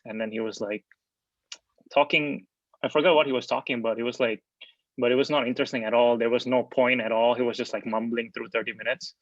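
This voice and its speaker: A man's voice: medium pitch, a bit bright, no room sound, lo-fi sound. A man in his thirties who grew up in Indonesia, giving a casual, rambling career talk full of fillers over a video call. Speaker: software engineer guest speaker.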